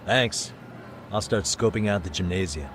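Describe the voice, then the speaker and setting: A man's voice: deep voice, normal speed, background noise, lightly acted. An actor playing a composed, wry male investigator in a video game, with a casual, dry, self-assured delivery. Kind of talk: video game dramatic acting.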